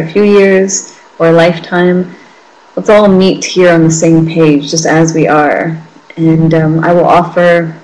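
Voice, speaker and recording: A woman leading a guided meditation as a teacher, speaking in a gentle, reassuring way with lingering phrases. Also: on the low side, poor audio.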